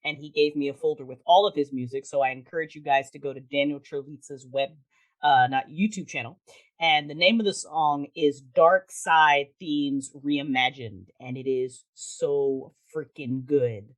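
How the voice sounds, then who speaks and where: A woman talking casually as a podcast guest, with an animated, emphatic, informal way of speaking. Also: low-pitched, normal speed. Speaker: podcast guest, audio drama creator. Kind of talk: casual interview chat.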